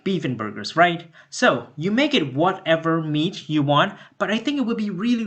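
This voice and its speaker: A Thai-accented man speaks in a fairly high, swooping voice. He gives sarcastic food reaction commentary with dramatic, sassy exasperation. The room around him sounds echoey. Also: bright, forceful tone. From Thailand. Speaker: host of a food reaction channel.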